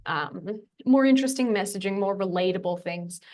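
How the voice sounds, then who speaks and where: A woman's voice: mid-range voice, moderate pace, a little mellow. A woman talking casually as a podcast guest, informal and self-deprecating, phrasing things loosely. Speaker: podcast guest, fitness coach. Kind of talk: casual conversational talk.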